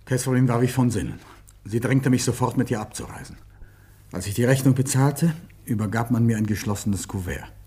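A man acting an earnest Englishman caught in a troubled love affair in a German radio play, speaking in a measured, deliberate way. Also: medium pitch, dark tone, slightly rough. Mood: grave, reflective.

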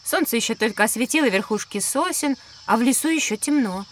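Inquisitive child, high, slightly distant. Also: female voice, animated, brisk. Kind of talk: scripted children's educational audio drama.

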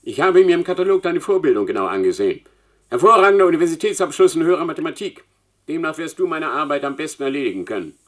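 A man's voice: fairly high pitch, very animated, fast talker. Pompous male professor. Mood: brisk, superior. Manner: pompous, condescending. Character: imperious male mathematics professor and dean, condescending, demanding. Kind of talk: German radio play acting.

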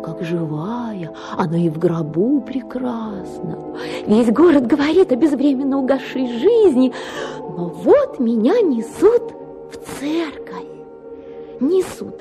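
An actress playing a self-pitying, capricious young wife in a radio drama, with an affected, sighing, melodramatic manner. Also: female voice, a little high, sing-song, takes it slowly, music in the background. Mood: dreamy, melodramatic. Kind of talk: dramatized literary short story for radio.

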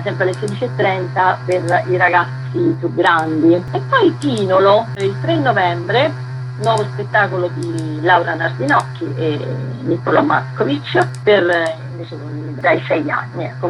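Informative woman talking over clear music. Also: lowish voice, low-quality recording. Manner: informative, listing dates and titles. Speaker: artistic director of a theatre festival, interviewed guest. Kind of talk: spoken presentation of an events programme.

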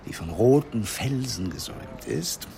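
An actor playing a charming, playful middle-aged father in a radio play, with a gravelly voice. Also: mid-range voice, sing-song, unhurried, noisy background. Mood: suave, enticing. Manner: suave, playful, teasing.

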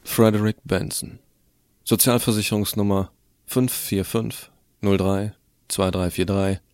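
Man as teenage storyteller, lowish voice, very dry sound, cocky, sardonic, colloquial, first-person audio drama narration. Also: steady tone, measured pace, a little mellow, no echo. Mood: mocking. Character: cocky, irreverent teenage schoolboy narrating his own story.

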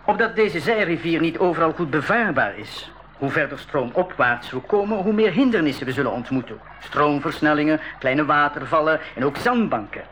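An actor playing a friendly, patient expedition leader who explains things in a radio play. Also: male voice, a little high, animated, moderate pace, a bit bright, slight background noise. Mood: patient, explaining. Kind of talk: 1980s school radio drama acting. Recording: dull 1980s radio recording.

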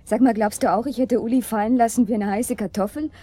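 An actress in a German radio drama playing an uneasy, guarded older sister with a plain-spoken, wry manner. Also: female voice, on the high side, steady tone, quick pace, warm tone. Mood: wry, pensive. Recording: clearly noisy ambient background.

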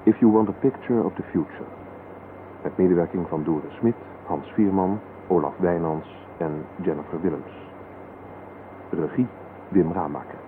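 Male announcer, deep voice, formal scripted delivery, thin and noisy vintage radio sound. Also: normal speed. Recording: tinny, noisy old radio broadcast.

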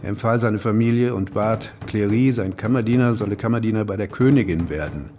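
An actor playing a sober official who recounts a king's execution in measured, matter-of-fact radio drama narration. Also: lowish voice, warm tone, faint music behind, close to an everyday voice. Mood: sober, grave. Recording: long reverberant hall, faint noise.

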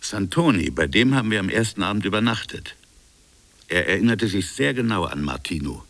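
Radio drama colonial official: low, brooding, insistent narrator of his own inquiry, close dry sound. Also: expressive, fairly quick, a bit bright, no echo. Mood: intent, sober. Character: colonial official recounting his stubborn private investigation. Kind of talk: radio drama dialogue and first-person narration.

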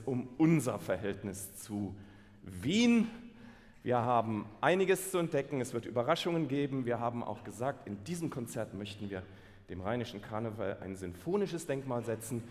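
Jovial conductor hosting from a concert hall stage. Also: male voice, mid-range voice, animated, normal speed, darkish tone. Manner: jovial, mock-serious. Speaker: conductor and co-presenter. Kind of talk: comedic carnival stage banter. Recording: live hall reverb with audience.

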